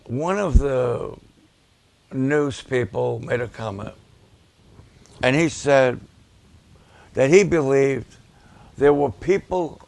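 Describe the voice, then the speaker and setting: Male pastor, raspy and gravelly, unhurried, deliberate preaching delivery. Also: medium pitch, no room sound. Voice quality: raspy at times. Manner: slow, deliberate, with emphatic repetitions. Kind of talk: preaching and promoting ministry videos to a livestream audience.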